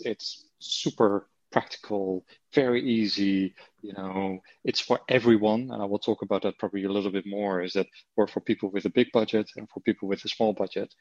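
A man's voice: fairly low pitch, no echo, dull audio. A man from the Netherlands with a Dutch accent, chatting casually as an interview guest, with lots of fillers. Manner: relaxed, chatty, frequent fillers. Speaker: marketing executive guest. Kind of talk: conversational interview.

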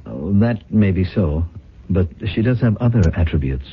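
An actor playing an ambitious, suave husband of about forty who runs a factory, in a husky voice and 1970s radio drama style. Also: male voice, sits low, animated, moderate pace, heavily acted. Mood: smooth authority. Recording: muffled, phone-like old radio recording.

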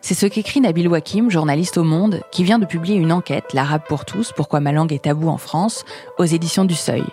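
A woman hosting a podcast who reads a scripted introduction and asks interview questions in a measured, composed way. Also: deep voice, warm tone, soft background music. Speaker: podcast host.

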